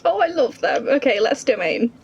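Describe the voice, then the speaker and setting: Expressive, high-voiced woman gaming streamer. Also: normal speed, breathy edge. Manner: chatty, expressive, thinking aloud. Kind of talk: casual gameplay reaction commentary. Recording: slightly dull, game music behind. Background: faint game music.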